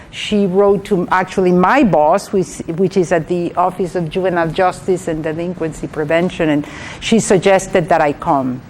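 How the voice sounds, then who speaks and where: A woman's voice: medium pitch, darkish tone. Guatemalan-accented woman presenting, noisy recording. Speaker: guest speaker, trainer. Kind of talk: training presentation to an audience. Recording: clearly noisy live room recording.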